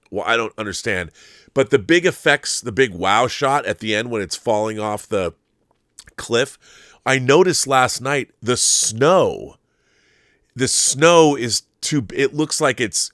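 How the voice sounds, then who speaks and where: A man's voice: medium pitch, a bit bright, no room sound, studio quality. A middle-aged American man talking casually on a livestream, rambling conversationally and often restarting his sentences as he goes. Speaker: host of a live YouTube show. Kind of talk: casual livestream monologue answering viewer comments.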